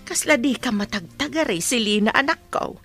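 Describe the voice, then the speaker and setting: A woman playing a caring, cautious mother who gently advises her grown daughter in a radio drama. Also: on the high side, lively intonation, normal speed, faint music behind. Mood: gentle advice.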